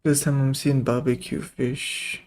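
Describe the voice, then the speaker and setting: A man's voice: medium pitch, level tone, unhurried, a little mellow. A man reacting casually to a video game as a streamer, laid-back and offhand.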